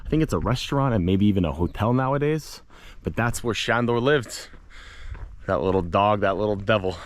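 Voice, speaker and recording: Low-voiced man, playful vlogger, noisy outdoors. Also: a little fast. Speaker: vlog host and storyteller. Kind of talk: casual walking travel vlog with folktale storytelling. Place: outdoors, walking in a hilly lakeside village and vineyards.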